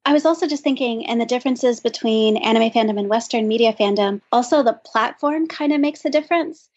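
A woman's voice: a little high, brisk, darkish tone. A woman co-hosting a podcast, chatting casually and thinking aloud with lots of "like". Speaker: podcast co-host. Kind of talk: casual discussion. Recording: dull lo-fi sound.

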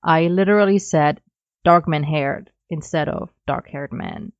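A woman's voice: low voice, unhurried, no room sound, muffled recording. A woman who is a non-native English speaker, giving informal recorded listener feedback. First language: not English. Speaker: listener sending in audio feedback.

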